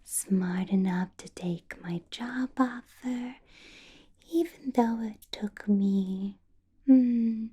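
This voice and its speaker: A woman playing an ancient, possessive vampire boss in an ASMR roleplay, whispering softly with slow, deliberate, teasing menace. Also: on the high side, exaggerated voice. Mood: smug approval. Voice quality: soft breathy whisper. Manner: slow, deliberate, teasing questions with lingering pauses. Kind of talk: ASMR roleplay, personal attention.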